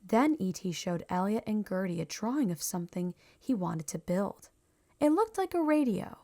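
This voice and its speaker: A woman reading a children's storybook aloud in a measured, expressive storytelling manner. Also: mid-range voice. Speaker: storybook reader. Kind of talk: scripted children's story narration.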